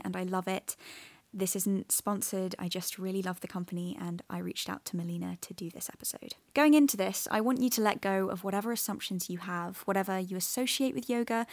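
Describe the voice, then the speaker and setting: A woman's voice: medium pitch, no room sound. A woman hosting a podcast, moving between a scripted introduction and interview talk. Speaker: podcast host.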